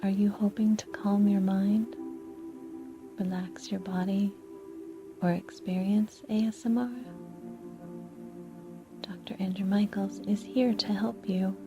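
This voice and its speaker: A woman whispers a scripted ASMR introduction as a podcast announcer. Her delivery is slow and even in pitch. The sound is dull, with clear music behind her. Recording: dull band-limited audio under loud music.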